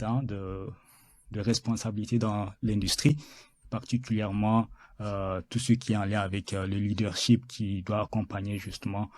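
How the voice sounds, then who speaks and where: A man's voice: lowish voice, darkish tone. A man hosting an interview podcast, speaking hesitantly with many filler sounds and restarts. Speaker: podcast host.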